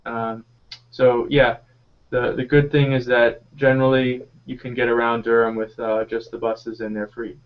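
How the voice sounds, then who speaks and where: Flat-voiced young man explaining things. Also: medium pitch, normal speed, muffled recording. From North Carolina, US. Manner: steady, explanatory, with many "uh" fillers. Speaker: graduate student panelist. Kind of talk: casual live Q&A panel.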